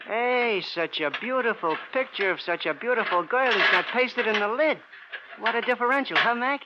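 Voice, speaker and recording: High-voiced, wisecracking New York garage mechanic. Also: male voice, animated, moderate pace. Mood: amazed. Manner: streetwise, rambling, wisecracking. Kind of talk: 1950s radio crime drama acting. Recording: dull, noisy old radio recording.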